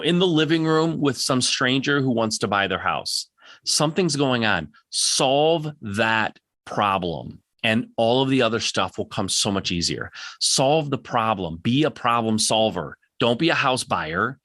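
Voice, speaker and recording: A man's voice: mid-range voice, fairly bright tone, dry recording, studio quality. A man hosting a live Q&A, coaching in a direct, plain-spoken way and insistently repeating his points for emphasis. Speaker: podcast host answering audience questions.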